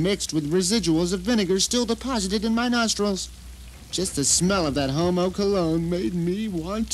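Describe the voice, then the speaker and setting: A man's voice: sits high, a little hiss or hum, poor audio. An actor playing a bitter, deluded blind man who tells his story in a theatrical, self-righteous audio drama monologue. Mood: tense, sly storytelling.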